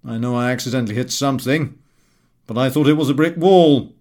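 A man reading an audiobook, voicing a boisterous, mocking gentleman with a hearty, blustery manner. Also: medium pitch, a little fast, close to an everyday voice. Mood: amused, mocking.

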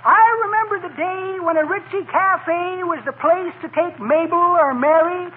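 A gleeful rustic old-timer with an extremely high, folksy drawl. He delivers wisecracks in 1940s radio comedy style, on a thin, noisy old broadcast recording. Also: normal speed, narrow, tinny audio. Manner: folksy drawling joke-teller.

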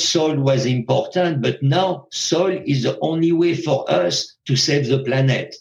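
Gravelly, emphatic man. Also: medium pitch, dull audio. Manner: emphatic, swears freely, repeats words. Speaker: cannabis grower. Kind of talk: passionate interview talk.

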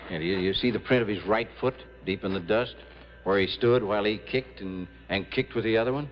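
A man's voice: fairly low pitch, brisk, close to an everyday voice. An actor playing an earnest, hesitant small-town lawman in an old radio drama, stammering and repeating his words. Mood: puzzled, investigating. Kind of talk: 1950s-60s radio drama acting. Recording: muffled vintage radio recording.

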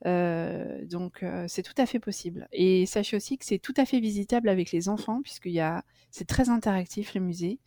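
A woman's voice: medium pitch, normal speed, few pauses, dark tone, dry recording, studio quality. A middle-aged French woman, a guest in a casual interview, talking chattily with many fillers and restarts.

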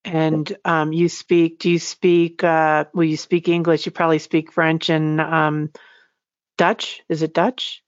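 A woman's voice: sits low, little pitch movement, slow pace, no echo, highs cut off. A woman interviewing her guest in a casual, chatty and personal way.

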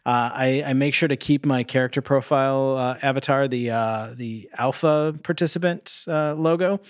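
A man's voice: mid-range voice, fairly even intonation, moderate pace, no room sound. A man with a mid-range voice co-hosts a podcast in a loose, casual way. He is laid-back and self-deprecating with some swearing, and the recording is very dry. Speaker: podcast co-host. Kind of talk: casual chat.

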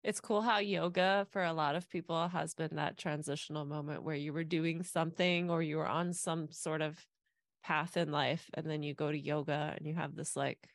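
Woman podcast host with a low voice and vocal fry, measured delivery, faint music behind. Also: steady tone, dry recording, clean studio sound. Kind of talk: scripted intro, then casual interview.

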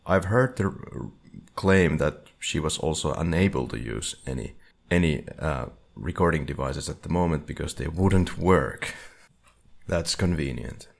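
Male co-host, bottom-register voice with heavy fry. Casual, halting, hedging analysis. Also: rumbling low, animated. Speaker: podcast co-host.

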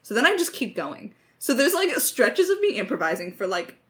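Bright, lively woman joking around. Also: fairly high pitch, brisk. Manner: joking, playful teasing. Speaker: podcast co-host, writer and voice actor. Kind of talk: casual chat Q&A.

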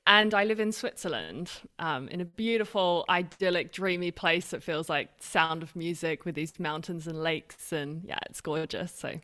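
A woman's voice: fairly low pitch, normal speed, hardly any pauses, fairly bright tone. A woman in her thirties with a mixed Australian and British accent, a guest telling her story openly and reflectively in an interview. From Australia and Britain. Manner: open, reflective storytelling with frequent restarts. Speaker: podcast guest. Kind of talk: conversational interview.

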